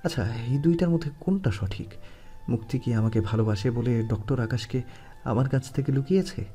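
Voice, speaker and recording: A man's voice: mid-range voice, brisk, dark tone, faint music behind, close to an everyday voice. A man playing an earnest young lover troubled by jealousy in a romantic audio story. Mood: brooding.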